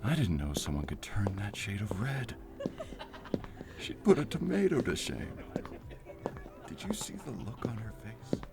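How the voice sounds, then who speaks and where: A man's voice: on the low side, big pitch swings, a little slow, vocal fry, long pauses, exaggerated voice. A man playing an amused, teasing director in authority in an audio roleplay, with a wry way of talking.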